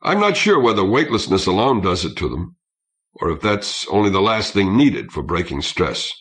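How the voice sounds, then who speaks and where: A man reading as a weary, low-voiced starship officer. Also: sing-song, normal speed, a bit bright. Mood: grave, weary. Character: weary, overworked male starship officer, matter-of-fact and grave.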